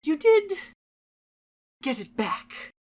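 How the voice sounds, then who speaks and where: An eerie video game mask salesman, acted out by a woman, speaks in a theatrical, unctuous way. The pitch sits high and the pace is measured, with a polite surface over a frantic, volatile temper. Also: big pitch swings, exaggerated voice. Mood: eerily polite. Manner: theatrical, swinging from soft politeness to shouting. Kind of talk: dramatic reading of game dialogue.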